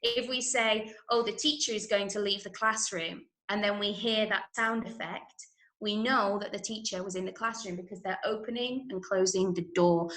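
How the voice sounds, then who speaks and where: A woman with an English accent teaching an interactive online drama workshop, giving clear instructions and encouraging pupils as she repeats their answers. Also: fairly high pitch, fairly bright tone. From Manchester, UK. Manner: clear step-by-step instructions to children. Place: room, online video lesson. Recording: echoey room sound.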